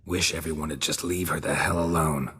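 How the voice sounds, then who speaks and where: Very low, terse monster hunter. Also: male voice, a little fast, breathy edge, in a large hall, heavily acted. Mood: dry, guarded. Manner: terse, dry, deadpan. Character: gruff, stoic, wry male monster hunter. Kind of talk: video game dialogue.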